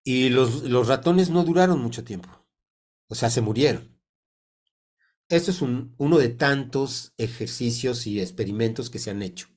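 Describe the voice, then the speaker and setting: Slow-talking older Mexican man, congested. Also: mid-range voice, a little mellow. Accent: Mexican Spanish. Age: 60s. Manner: halting, deliberate, with many pauses. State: congested, getting over a cold. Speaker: sound therapy teacher. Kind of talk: informal explanatory online talk. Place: indoor room on a video call. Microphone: computer mic on a video call.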